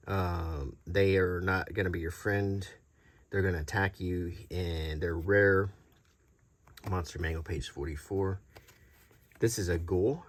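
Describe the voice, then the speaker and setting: A middle-aged man doing a casual, unscripted show-and-tell as a video host, talking in a halting, rambling, conversational way. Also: deep voice. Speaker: YouTube host showing vintage tabletop game monster cards.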